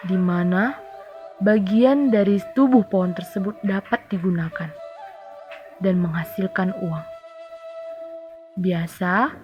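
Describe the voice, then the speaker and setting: A young adult woman from Indonesia telling a children's folktale in a deliberate, didactic way with dramatic pauses. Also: mid-range voice. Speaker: student teacher telling a folktale. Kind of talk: children's storytelling. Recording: dull lo-fi audio under music. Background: background music.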